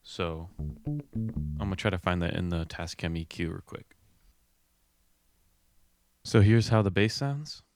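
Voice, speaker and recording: Young man, very low pitch, smooth resonant voice, laid-back musician vlog, dry close-miked sound. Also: takes it slowly, long pauses, a little mellow, no room sound, soft background music. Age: 20s. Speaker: musician and host of a home recording video. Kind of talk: casual explanatory vlog talk. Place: small home studio room, indoors. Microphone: close microphone, handheld or on a stand.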